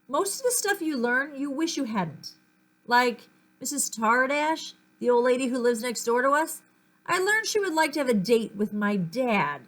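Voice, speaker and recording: Animated high-voiced woman reading a story. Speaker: audiobook-style reader. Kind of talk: expressive children's story read-aloud.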